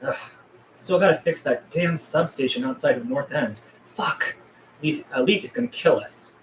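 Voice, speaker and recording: A man's voice: a little high, lively intonation, moderate pace, echoey room, slight background noise, natural voice, rough recording. A man playing a weary, sardonic survivor in his thirties in a film script read. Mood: sardonic, grumbling.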